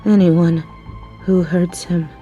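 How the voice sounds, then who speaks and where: A woman playing a young female mafia boss in an audio roleplay, possessive and menacing yet doting, speaking deliberately. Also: on the low side, steady tone, warm tone, muffled recording, faint music behind. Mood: uneasy, brooding. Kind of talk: scripted audio roleplay acting.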